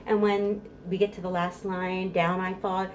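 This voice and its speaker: A woman's voice: fairly low pitch, level tone, unhurried, slight background noise, low-quality recording. A middle-aged American woman leading a storytime for babies as a children's librarian, giving instructions and reciting rhymes in a sing-song, encouraging way.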